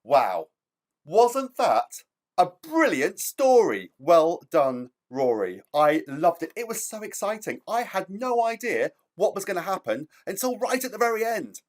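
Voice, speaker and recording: A man's voice: high-pitched, very animated, measured pace. A man, a children's author, speaking to young children in an enthusiastic, theatrical storytelling style with emphatic delivery.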